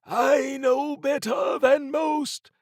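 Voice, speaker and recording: A man voicing a sinister, chiding fish-blooded cultist in an audiobook, with a slow, drawn-out croak. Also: very high voice, little pitch movement, dry recording, studio quality, voice pushed up, exaggerated voice. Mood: chiding menace. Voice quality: croaking, creaky.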